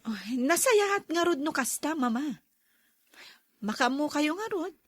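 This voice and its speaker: Very high-voiced Ilocano daughter played by a woman. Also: big pitch swings, a little slow, no echo, clean studio sound. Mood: approving. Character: approving grown daughter of a widowed mother. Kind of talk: radio drama acting.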